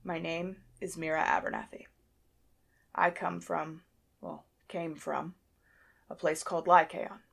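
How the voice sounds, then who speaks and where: A woman's voice: low voice, steady tone, measured pace, gravelly, pauses often, fairly bright tone, slightly rough, exaggerated voice. A woman playing a guarded, blunt female werewolf pack leader in an audio drama, with a dry, informal way of talking. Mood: explaining. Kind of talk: scripted audio drama acting.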